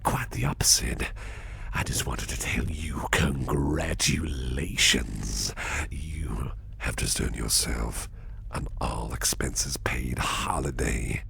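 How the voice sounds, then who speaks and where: A man playing a suave, protective, slyly teasing businessman boss in an ASMR roleplay, speaking in a gravelly, breathy half-whisper with slow, deliberate phrasing. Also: very deep voice, heavily acted. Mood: proud, reassuring. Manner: slow, deliberate, softly spoken with repeated phrases. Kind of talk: ASMR roleplay, personal attention.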